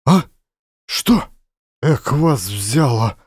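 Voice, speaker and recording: A man voicing a gruff, sullen, mocking peasant drunkard who mutters and grumbles, in an audio play. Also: mid-range voice, expressive, extremely slow, dry recording, clean studio sound, heavily acted. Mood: groggy, irritated.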